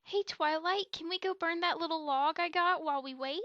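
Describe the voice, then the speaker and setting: Tiny high-voiced filly. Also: female voice, normal speed, bright, forceful tone, no room sound, dull audio. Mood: eager. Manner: childlike, inquisitive. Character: curious little filly girl, sweet and eager. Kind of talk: fan audio drama acting.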